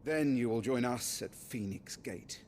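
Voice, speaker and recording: An actor playing a stern, resolute military commander, formal and brisk, in a fantasy game. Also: male voice, medium pitch, background noise, lightly acted. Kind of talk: dramatic fantasy game acting.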